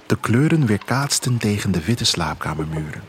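Man, lowish voice, animated intonation, poetic first-person narration, expressive storytelling, audio drama. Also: moderate pace, a little hiss or hum. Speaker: author narrating his own story.

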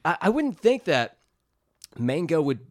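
A man's voice: on the high side, sing-song, unhurried, no room sound, clean studio sound. A man co-hosting a casual comedy podcast, joking crudely and enthusiastically as he chats. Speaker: podcast co-host. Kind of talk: casual comedic banter, taste-testing sodas.

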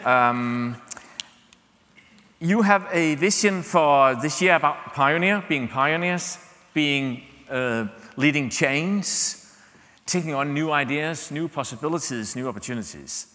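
Danish guy preaching, bright voice, chatty with lots of ums, echoey church reverb. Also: fairly high pitch, lively intonation, takes it slowly. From Denmark. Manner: conversational, many fillers and restarts, checks in with "yeah?". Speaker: visiting preacher. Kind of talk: sermon.

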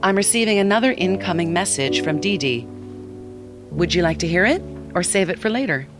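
Actor as AI assistant, calm, helpful, measured, instructive, audio drama, music underneath. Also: medium pitch, natural voice. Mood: measured, polite. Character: calm, helpful AI seeing-eye app assistant. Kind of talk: audio fiction acting, synthetic assistant delivery.

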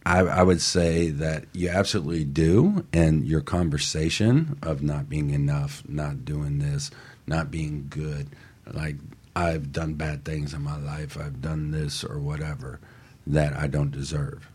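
A man with a very deep, husky voice talks as a radio co-host. He is emphatic and affirming, speaking like a motivational coach in conversation. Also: fairly even intonation, unhurried, a little mellow.

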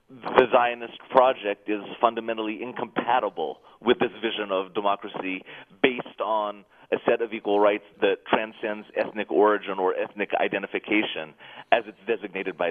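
Interview guest, a man in his thirties, articulate and measured, low voice, tinny phone audio. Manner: measured, articulate, occasional soft laughs. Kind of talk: thoughtful interview answers.

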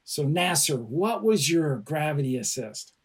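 A man's voice: on the high side, big pitch swings, a little slow, breathy edge. A man hosting an interview podcast, asking friendly, encouraging questions. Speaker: podcast host.

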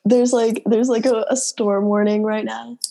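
A woman's voice: fairly high pitch, little pitch movement, unhurried, vocal fry, dark tone, faint music behind. A young woman co-hosting a casual podcast, sniffling from a cold and chatting with lots of fillers. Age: young adult. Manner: chatty, filler-heavy, laughing. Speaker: podcast co-host. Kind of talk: casual chat.

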